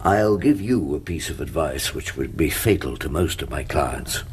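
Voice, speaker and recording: A man's voice: a bass voice, lively intonation, moderate pace, slight background noise. A man playing a wry, world-weary older English barrister in a radio drama, speaking and narrating in a dry, droll, literate way. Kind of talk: radio drama dialogue and first-person narration.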